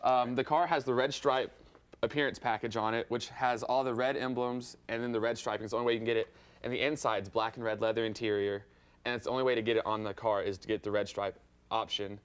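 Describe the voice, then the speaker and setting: A young American man speaks as an interview guest. His pitch is average for a man and his delivery is casual and conversational, recorded in an open home garage. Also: steady tone, fast, clear, resonant tone. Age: young adult. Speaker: car owner being interviewed.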